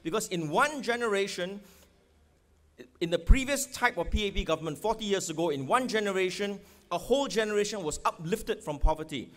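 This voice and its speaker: A middle-aged man with a Singaporean accent gives an emphatic election rally speech at a podium microphone, firing rhetorical questions at the crowd. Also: high voice. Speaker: political candidate. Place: outdoor stadium rally stage.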